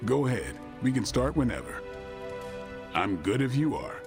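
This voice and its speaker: An actor playing a thoughtful, terse, plain-spoken superhero in a video game cutscene. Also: male voice, medium pitch, very animated, normal speed, vocal fry, over music. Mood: relaxed, thoughtful.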